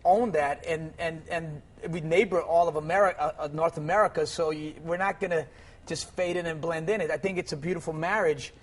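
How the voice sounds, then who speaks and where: A man's voice: on the high side, fairly even intonation, fairly quick. A man who is a guest on a TV panel, talking in a loose, joking and rambling way. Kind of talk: TV panel discussion.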